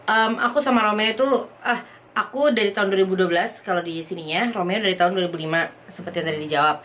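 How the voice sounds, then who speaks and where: A young woman from Indonesia chatting casually as a vlogger, reading out viewer questions and answering them at home. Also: mid-range voice. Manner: lively, chatty, quick bursts, reads questions aloud. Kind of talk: casual chat.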